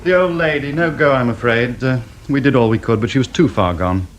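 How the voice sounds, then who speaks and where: A man's voice: mid-range voice, brisk, lightly acted. An actor playing a weary, regretful male hospital doctor in a radio crime drama. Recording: clear background noise and ambience.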